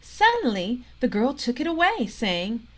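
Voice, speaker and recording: A woman reading a children's storybook aloud in an expressive, deliberate way, pausing for emphasis. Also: a little high. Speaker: storybook reader, organisation president.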